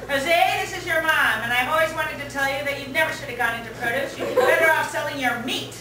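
A woman's voice: high voice, fast, natural voice. A woman playing a teasing female caller in improv comedy. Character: teasing female caller, by turns flirty or motherly. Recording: echoey theater from far off, loud noise.

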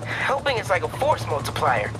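A man's voice: sits high, very animated, normal speed, rough recording. An actor playing an earnest, eager teenage boy talking with his hero friend in a video game. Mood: earnest, uncertain. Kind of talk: video game phone conversation. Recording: prominent music behind.

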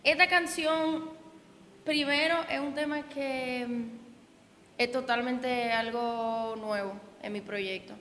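A woman's voice: high-pitched, steady tone, slow pace, a bit bright. A young woman singer from the Dominican Republic with a Dominican accent, chatting casually in an interview, full of fillers and restarts. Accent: Dominican Spanish. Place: indoor event room with reverb. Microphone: handheld mic held by interviewer. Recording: echoey room with faint noise.

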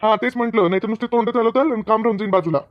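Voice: mid-range voice, very fast, dull audio, natural voice. A performer voicing a practical, good-natured male farm owner who nags his workers in a comedy cartoon, with a Maharashtrian accent and colloquial delivery. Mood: nagging. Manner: colloquial, nagging, instructing. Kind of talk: Marathi comedy cartoon dialogue.

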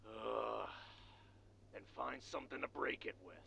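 A man voicing a bossy, impatient cartoon animal schemer in a game, pushy and sarcastic, with a gravelly voice. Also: fairly low pitch, unhurried, sharp tone, a little hiss or hum, heavily acted.

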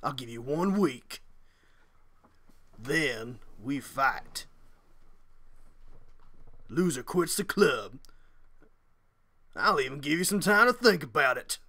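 A man's voice: mid-range voice, lively intonation, takes it slowly, pauses often, bright, forceful tone, full character voice. A man voicing a cocky karate club bully, taunting and swaggering, in an amateur anime parody dub. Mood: smug threat.

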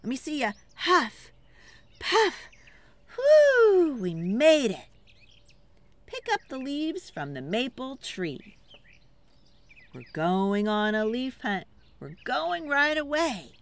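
A woman's voice: high voice, big pitch swings, slow, pauses often. A woman storyteller giving a dramatic, rhythmic picture-book read-aloud for children, theatrical and urging listeners to join in.

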